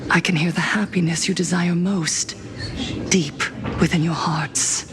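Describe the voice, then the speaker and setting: An actress playing a traumatised young woman turned eerie media mogul in a video game, with a slow, breathy, theatrical delivery. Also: on the low side, fairly even intonation, crowd noise, lightly acted. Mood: calm, knowing. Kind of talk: scripted video game voice acting. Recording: loud music behind.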